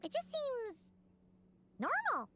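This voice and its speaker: Game sidekick: tiny curious creature girl, extremely high squeaky voice, slow singsong cuteness, blunt. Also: huge pitch swings, pushed and bright, soft background music, heavily acted. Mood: puzzled, unimpressed. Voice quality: squeaky, childlike. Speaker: video game character.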